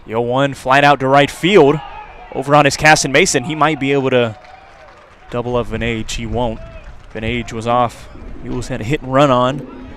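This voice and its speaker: A man's voice: mid-range voice, fairly even intonation, normal speed, a bit bright. American man calling baseball, noisy background. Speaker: play-by-play announcer. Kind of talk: live radio baseball commentary. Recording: clear background noise from outdoor ballpark.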